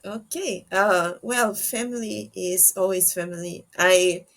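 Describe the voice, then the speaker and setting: A woman with a mid-range voice hosts a casual interview. She talks slowly and haltingly, feeling her way through each phrase as she chats with her guest. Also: mid-range voice, fairly even intonation, fairly bright tone. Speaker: podcast host, interviewer.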